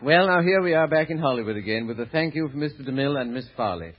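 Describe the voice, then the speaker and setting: A man's voice: medium pitch, lively intonation, quick pace, heavy background noise. A man announcing and narrating from a script in 1930s radio style. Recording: muffled old radio recording. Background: faint music.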